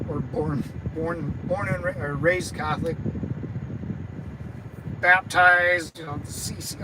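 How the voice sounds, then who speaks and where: Young man, high voice, casual stream chat, stammering, rambling, heavy traffic noise outside. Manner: rambling, stammers and restarts, laughs often. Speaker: livestreamer and veteran chatting with viewers. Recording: loud traffic noise behind.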